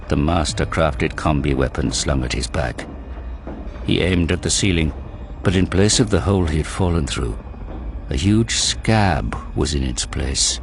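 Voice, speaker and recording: A man narrating a war story in a grave, deliberate, dramatic scripted style. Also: a bass voice, background noise. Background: prominent dramatic music.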